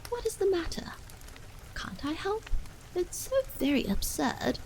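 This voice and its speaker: A woman's voice: extremely high pitch, very animated, a little slow, a little mellow, a little hiss or hum, voice pushed up, exaggerated voice, low-quality recording. A woman reading aloud and voicing an anxious wife who speaks with insistence and vexation. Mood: vexed. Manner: vexed, insistent, exclamatory.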